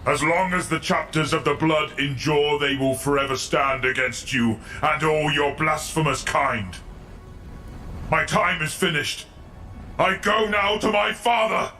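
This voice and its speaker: A man's voice: fairly high pitch, unhurried, sharp tone, soft background music, voice pushed up. A man voicing a defiant, devout warrior chaplain in an audio story, declaiming with solemn resolve.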